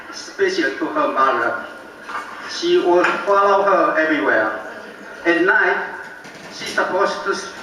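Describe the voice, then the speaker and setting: An older Taiwanese-accented father, a native Taiwanese speaker, delivers a slow, halting wedding toast with gentle self-mocking humor. His voice sits high and bright. It is picked up from far off in a very echoey banquet hall, with faint noise behind. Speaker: father of the bride.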